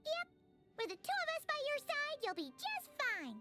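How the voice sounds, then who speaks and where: A tiny, eager fairy sidekick from an anime game, with a squeaky childlike voice pitched extremely high. The delivery is chirpy and hugely animated, over clearly audible music. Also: female voice, moderate pace, full character voice. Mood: eager, reassuring. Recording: music playing behind the voice.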